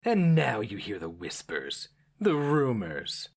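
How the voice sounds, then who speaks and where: Man acting a fervent, maniacal sorcerer, wildly swinging pitch. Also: wildly swooping pitch, slow, breathy edge, heavily acted. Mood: mocking, hectoring. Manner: theatrical, impassioned, hectoring. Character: maniacal, gloating male sorcerer, fervent and scornful.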